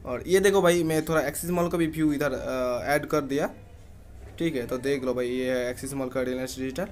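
A man's voice: medium pitch, fairly quick. Chatty young man vlogging. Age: young adult. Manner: chatty, keeps asking "ठीक है?". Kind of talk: casual vlog talk to camera. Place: indoors, plain room, then walking through a mall.

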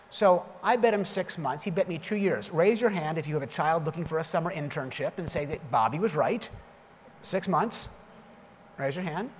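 A man in his fifties giving a conference keynote from a lectern, telling punchy, self-deprecating stories. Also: sits high. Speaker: keynote speaker. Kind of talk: conference keynote with jokes and anecdotes. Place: large conference hall stage at a lectern. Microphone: lectern microphone. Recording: long hall reverb, faint background noise.

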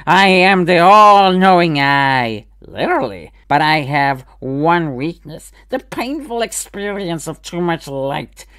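A performer voicing a smug, all-seeing demon with many eyes who boasts in a measured way. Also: medium pitch, animated, fairly bright tone, clean tone, dry recording. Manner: measured, self-satisfied boasting.

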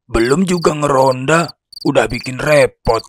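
A man's voice: mid-range voice, measured pace, natural voice. A man voicing a bored, grumbling night watchman in a comedy cartoon, with music behind. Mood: annoyed.

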